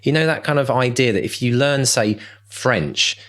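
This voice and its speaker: A man's voice: mid-range voice, normal speed, fairly bright tone. A man chatting casually as a podcast co-host and coach, effusive, enthusiastic and encouraging. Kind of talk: casual chat.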